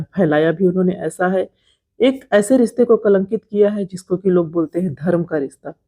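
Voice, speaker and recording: Indian woman with a mellow, soft-toned voice. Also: lowish voice. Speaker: vlogger commenting on creator drama. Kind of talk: informal narrated gossip commentary.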